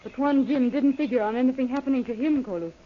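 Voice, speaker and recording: An actress playing an anxious, impatient young adventuress, fretful and pleading, in 1930s radio style. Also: female voice, high-pitched, fast, slight background noise, close to an everyday voice. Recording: dull lo-fi old radio recording.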